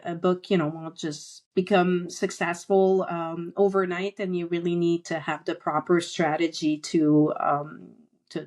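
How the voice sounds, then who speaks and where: A middle-aged woman whose first language is French, talking as a podcast guest about her book, with many pauses and fillers. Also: lowish voice. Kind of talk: casual interview talk.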